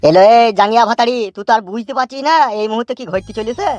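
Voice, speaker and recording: Guy doing worried old cartoon dad, rural west bengal accent, talks super fast, scolds and frets. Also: extremely high pitch, darkish tone, no room sound. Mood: exasperated scolding. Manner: nagging, fretful, repeats words. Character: anxious, scolding, foul-mouthed old village father. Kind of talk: comic cartoon dubbing.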